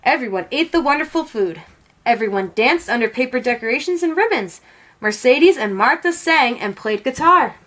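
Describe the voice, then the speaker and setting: A woman narrating a storybook in an expressive read-aloud style. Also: high voice, moderate pace.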